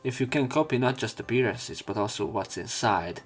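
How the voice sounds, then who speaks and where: A man's voice: medium pitch, expressive, brisk, slightly rough, faint music behind. A man reading visual novel text aloud as a let's play host, casual and prone to interrupting himself.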